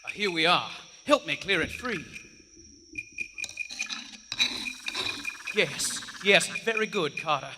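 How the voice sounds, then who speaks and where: An actor playing a domineering, driven occult investigator, grave and condescending, in a theatrical horror radio play performed live. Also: male voice, fairly high pitch, animated, moderate pace, noisy background, close to an everyday voice. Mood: brisk, straining. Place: on stage in a large theater. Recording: live theater hall reverb.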